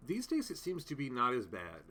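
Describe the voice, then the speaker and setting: A man's voice: mid-range voice, huge pitch swings, brisk, bright, forceful tone. A man in his late thirties hosting a casual live chat from home, rambling with many fillers and restarts as he answers comments. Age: 30s. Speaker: livestream host.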